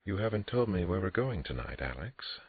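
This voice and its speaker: A man voicing an elegant woman on a romantic date, speaking softly and with delight. The recording is dry and lo-fi. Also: low-pitched, big pitch swings, moderate pace, gravelly, slightly rough, dry recording, voice pushed up, full character voice. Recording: dry, lo-fi, phone-like.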